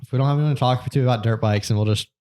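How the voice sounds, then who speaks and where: Rambling young podcast co-host. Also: male voice, medium pitch, rapid-fire, warm tone. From Georgia, USA. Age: 20s. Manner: rambling, many fillers and restarts. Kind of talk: casual podcast chat.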